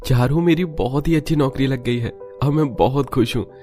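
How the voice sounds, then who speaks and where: A man's voice: mid-range voice, normal speed, mellow, lightly acted. A man plays an earnest young man in a cartoon tale. His voice is mid-range, mild and sincere, with faint music behind. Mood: hopeful. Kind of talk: Hindi cartoon story dialogue.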